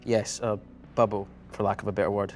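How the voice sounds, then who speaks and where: A man's voice: fairly low pitch, flat delivery, a little fast, faint music behind, lightly acted. A man in his thirties playing a brainy, precise, grave scientist in a TV drama table read. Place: large meeting room full of people.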